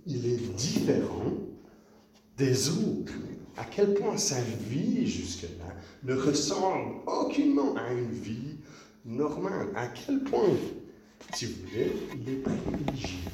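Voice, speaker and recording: A man giving a relaxed, chatty sermon, telling a story to the congregation in a church. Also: medium pitch, a little hiss or hum. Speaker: preacher. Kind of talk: conversational sermon, retelling a film. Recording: echoey church from a distance.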